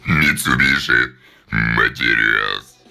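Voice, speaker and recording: Extremely deep, gravelly meme voice. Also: male voice, slow, pushed and bright, exaggerated voice. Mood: deadpan. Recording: music behind a played meme clip.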